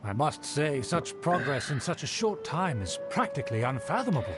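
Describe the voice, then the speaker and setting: A haughty aristocratic nobleman, formal and condescending in every word. His voice sits a little high for a man. Clear game music plays behind him. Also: big pitch swings, moderate pace. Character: pompous aristocratic male nobleman, snobbish.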